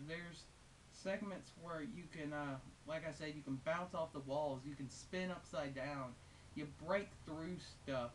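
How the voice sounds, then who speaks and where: A man giving a casual, unscripted video game review in a rambling, hesitant but amused and enthusiastic way. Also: a little high. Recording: hissy, noisy home recording.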